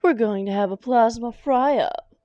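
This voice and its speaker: A woman playing a sardonic, hot-tempered supervillain with a soft spot for her partner in a comic roleplay audio drama. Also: fairly high pitch, a little slow, dry recording, muffled recording, close to an everyday voice. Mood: defiant, heated. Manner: sarcastic, dramatic, affectionate with partner.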